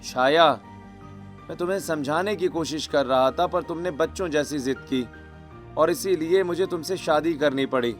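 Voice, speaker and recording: Man playing an earnest high-pitched young husband. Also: moderate pace, soft background music, lightly acted. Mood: earnest, reproving. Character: earnest responsible young husband, gently reproving. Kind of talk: Hindi cartoon story dialogue acting.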